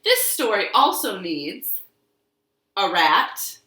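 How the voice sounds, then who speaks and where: A woman hosting storytime for young children, speaking in an expressive, encouraging sing-song way in a living room. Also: mid-range voice, takes it slowly. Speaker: children's storytime librarian host. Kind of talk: children's storytime, talking and reading aloud to young kids. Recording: roomy home living room echo.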